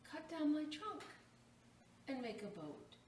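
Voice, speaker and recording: A woman voicing a loving, giving old female apple tree in a children's storybook, tender and gently encouraging. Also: sits high, big pitch swings, slow pace, pitched above the performer's own voice, heavily acted. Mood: generous. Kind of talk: children's storybook read-aloud. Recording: echoey room, distant mic, faint noise.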